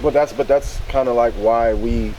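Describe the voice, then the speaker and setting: A man's voice: medium pitch, fairly even intonation, moderate pace, dark tone, lo-fi sound, slight background noise. A man visiting as interviewer, curious and chatty, with lots of short replies and questions. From Hawaii. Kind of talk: casual conversation.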